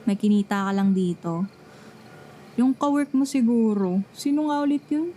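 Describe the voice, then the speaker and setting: A woman's voice: on the high side, animated, normal speed, warm tone. A young woman with a Filipino accent playing a jealous, sulky best friend who fakes indifference in an ASMR roleplay. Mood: sarcastic, jealous. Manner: dramatic, sulky, sarcastic. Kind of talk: ASMR roleplay, one-sided dialogue.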